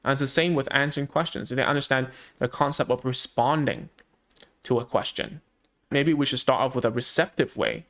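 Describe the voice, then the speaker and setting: A man whose first language is Cantonese talks loosely through how children learn language, as a speech therapist would with parents. His pitch sits in the middle for a man, and he keeps it conversational, with frequent fillers and restarts. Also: animated, a little fast, fairly bright tone. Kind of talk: casual explanatory talk to parents.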